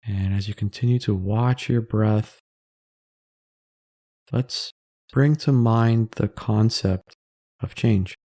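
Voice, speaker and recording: A man narrates a guided meditation in a low, dark, relaxed voice. His delivery is measured and calming, with pauses between phrases. The sound is close and dry. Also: dry recording.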